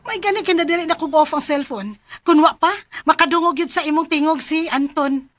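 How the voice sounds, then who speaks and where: High, bright-voiced scheming young Cebuano woman. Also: a little fast, faint music behind. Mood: scheming, impatient. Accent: Filipino, Cebuano. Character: scheming young woman, deceitful and determined kidnap plotter. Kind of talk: Philippine radio drama acting.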